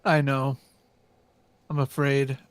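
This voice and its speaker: A man on a casual podcast panel, speaking quickly and emphatically as a sports fan. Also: medium pitch, little pitch movement, clear, resonant tone, no echo. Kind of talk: casual chat.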